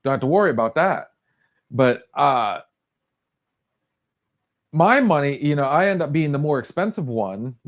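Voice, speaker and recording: A man's voice: on the high side, animated, a little slow, pauses often. A man in his forties co-hosting a podcast, chatting casually and rambling with frequent restarts. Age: 40s. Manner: rambling, with many restarts and fillers. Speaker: podcast co-host. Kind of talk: casual chat.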